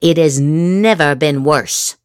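A woman's voice: low-pitched, slow pace, very noisy. A woman hosting a podcast, reading political posts aloud dramatically with exaggerated, emphatic inflection. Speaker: podcast host.

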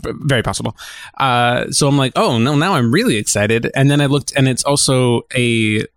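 A man co-hosting a podcast, chatting informally and telling things in a halting, conversational way. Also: mid-range voice, clean tone, no echo, studio quality. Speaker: podcast co-host. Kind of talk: casual chat.

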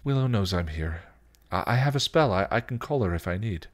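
A gentle young witch from a storybook, as a male narrator plays her. She is shy and soothing, speaking softly with a hesitant stammer and a kind, calming manner. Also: fairly low pitch, brisk, lightly acted. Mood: shy, reassuring.

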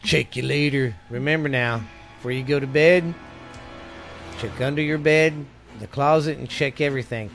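A man's voice: mid-range voice, moderate pace, vocal fry, fairly bright tone, faint music behind. Rambling folksy Texan man. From Texas. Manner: rambling, folksy, repeats himself. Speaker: podcast co-host. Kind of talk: casual chat.

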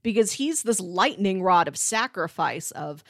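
A woman's voice: medium pitch, animated, measured pace, no room sound. A female podcast co-host with a mid-range voice, recorded close and dry. She chats in an analytical way, building her argument fluently and with emphasis. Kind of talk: casual analytical debate chat.

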